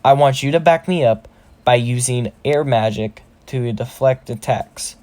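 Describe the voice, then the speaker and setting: A young male adventurer with a cocky, casual way of talking, acted out by a man storyteller. He is a confident fantasy story hero, plain-spoken and at an ordinary male pitch. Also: unhurried, a little mellow, natural voice. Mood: planning.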